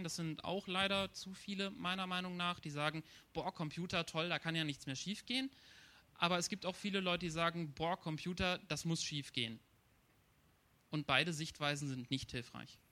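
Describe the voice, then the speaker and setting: A man speaking as a guest in a panel discussion, measured and making his case with restarted phrases. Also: sits high, bright, forceful tone.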